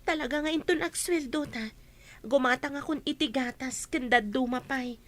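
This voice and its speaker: A woman's voice: sits high, moderate pace, close to an everyday voice. A woman with an Ilocano accent playing an aggrieved working daughter in a radio comedy-drama. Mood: troubled, subdued. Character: aggrieved working daughter confronting her gambling parents.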